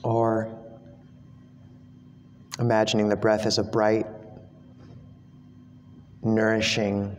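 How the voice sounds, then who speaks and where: A man leads a guided meditation in a low, even voice. He speaks slowly and gently, leaving long pauses between phrases. The recording has long hall reverb and some background noise. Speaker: monk, meditation teacher.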